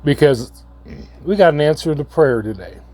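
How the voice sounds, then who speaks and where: A hoarse man, coming down with a cold, giving a halting, earnest update to his viewers. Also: on the high side, animated, mellow, soft background music. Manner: halting, repeats words, slow and earnest. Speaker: channel host. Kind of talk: casual vlog update.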